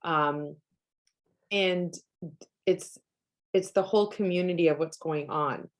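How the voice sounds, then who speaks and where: A woman's voice: fairly low pitch, slow. Canadian woman, polish is her first language, earnest and chatty, lots of "like" and restarts. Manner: earnest, thinks aloud with many restarts and "like". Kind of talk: casual conversation.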